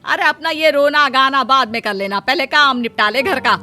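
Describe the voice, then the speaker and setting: A woman's voice: high-pitched, moderate pace, faint music behind. A woman playing a contemptuous, berating sister-in-law in a Hindi cartoon story. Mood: angry, scolding. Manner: sharp, berating.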